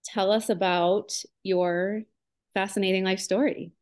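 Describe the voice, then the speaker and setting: A woman's voice: medium pitch, fairly even intonation, unhurried, a little mellow. A woman hosting a podcast interview, upbeat and enthusiastic as she introduces and questions her guest. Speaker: podcast host.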